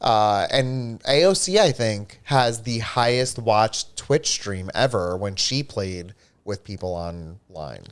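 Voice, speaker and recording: A man's voice: fairly low pitch, expressive, slow pace, smooth. A man co-hosting a livestream, chatting casually with lots of fillers and an upbeat manner. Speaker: livestream co-host.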